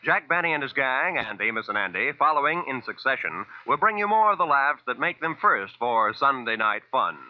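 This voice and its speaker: A man's voice: mid-range voice, sing-song, a little fast, few pauses, dry recording. An American man announcing for network radio in a polished, formal, scripted 1940s style. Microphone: dry studio broadcast mic. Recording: muffled vintage radio recording, faint music.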